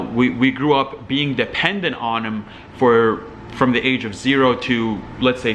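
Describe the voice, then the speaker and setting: A young man from Algeria speaks in an average-pitched voice, rambling through casual commentary with lots of fillers and restarts. Faint noise hums behind him. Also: a bit bright, poor audio. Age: young adult. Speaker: entrepreneur vlogger. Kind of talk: casual talking-head commentary.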